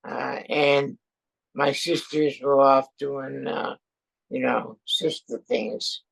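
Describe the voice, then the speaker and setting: A man, a veteran speaking hesitantly as an interview guest, with many pauses and fillers. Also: mid-range voice, fairly even intonation, creaky, a bit bright, breathy edge, low-quality recording. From born in Austria, raised in Brooklyn and France. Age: 70s. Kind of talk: casual chat.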